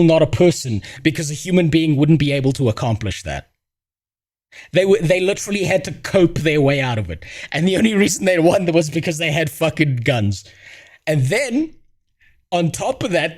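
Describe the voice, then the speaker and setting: A man's voice: on the high side, darkish tone. A man hosting a stream, giving a blunt, profane, rambling reaction commentary. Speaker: streamer host.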